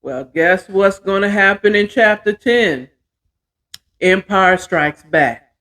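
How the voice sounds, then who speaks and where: A woman's voice: mid-range voice, lively intonation, takes it slowly, a bit bright. A middle-aged American woman hosting a show, chatting casually to viewers in a folksy way, often half talking to herself. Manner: folksy, talks to herself while working. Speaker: host of a storytelling show.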